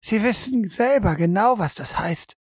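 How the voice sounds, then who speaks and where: A woman's voice: medium pitch, animated, unhurried, slightly rough, no room sound, clean studio sound. A woman acting in an audio drama as a stern, insistent interrogator who speaks formally and presses hard. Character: stern, insistent female interrogator probing a prisoner's mind.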